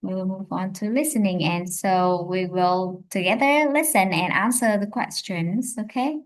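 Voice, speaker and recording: A woman teaching an English lesson on a live stream, speaking slowly and hesitantly with many fillers. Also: mid-range voice. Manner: slow, hesitant, many fillers and self-corrections.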